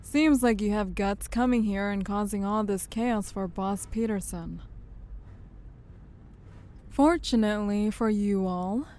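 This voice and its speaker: A woman's voice: medium pitch, a little slow, darkish tone, lightly acted. A woman acting as a tough, sly, self-assured syndicate enforcer in an audio drama, with a taunting manner. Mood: sly amusement.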